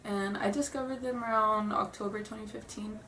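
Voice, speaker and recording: A woman's voice: medium pitch, steady tone, normal speed, sharp tone, a little hiss or hum. A young woman who sounds stuffy from a cold, chatting nervously and rambling in a casual vlog. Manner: nervous, rambling, apologetic, giggly. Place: room at home. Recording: roomy, distant home camera audio.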